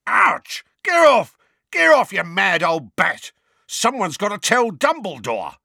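A man's voice: mid-range voice, sing-song, moderate pace, pushed and bright, no room sound, clean studio sound, voice pushed up, exaggerated voice. Shifty, scruffy small-time crook, acted by a man. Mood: cowering, protesting. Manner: slangy, sheepish, evasive.

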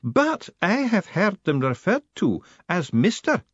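A man voicing a formidable, prim older woman clerk who makes stern demands in a high, strained voice. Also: wildly swooping pitch, measured pace, breathy edge, no room sound, muffled recording, full character voice.

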